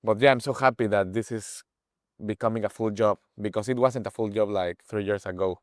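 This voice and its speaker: A man in his twenties from Mexico with a Mexican Spanish accent, speaking English as a second language, halting and searching for words as an interview guest. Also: on the low side, steady tone, no room sound, clean studio sound. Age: 20s. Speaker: guest, page owner. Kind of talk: casual interview chat.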